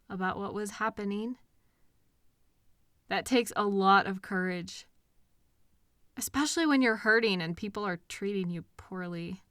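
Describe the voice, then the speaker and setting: A woman playing a caring, protective partner in an intimate comfort audio roleplay, speaking gently and earnestly in a reassuring, conversational way with hesitant pauses. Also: mid-range voice, no echo, studio quality, close to an everyday voice. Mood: tender. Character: caring, protective partner consoling a hurting loved one.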